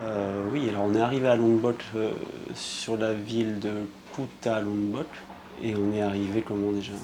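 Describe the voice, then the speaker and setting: A man narrating his surf trip in a casual, relaxed way, pausing often with fillers. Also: on the low side, background noise. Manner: relaxed, hesitant with many fillers.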